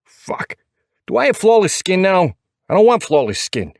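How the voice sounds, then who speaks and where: A man's voice: high voice, big pitch swings, normal speed, creaky, a little mellow, no echo, voice pushed up, heavily acted. A man voicing a short, hot-headed, foul-mouthed biker with a thick New York accent and a combative attitude, for an audiobook. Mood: indignant.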